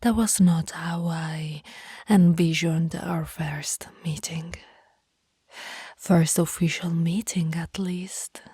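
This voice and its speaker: Slow, breathy whispering vampire played by a woman. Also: fairly low pitch, fairly even intonation, mellow, no room sound, clean studio sound, full character voice. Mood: embarrassed, pleading. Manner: slow, formal, old-fashioned romantic phrasing. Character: lovestruck female vampire, courtly, menacing yet apologetic. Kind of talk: whispered ASMR roleplay.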